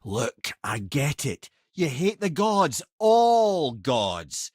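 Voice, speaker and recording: Game role: older Scottish sage and guide, wry, eloquent storyteller with widely swinging intonation, dry close recording. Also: male voice, fairly high pitch, sing-song, very slow, husky, no room sound, exaggerated voice. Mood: earnest, urging. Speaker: video game voice actor. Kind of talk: in-game character dialogue and storytelling.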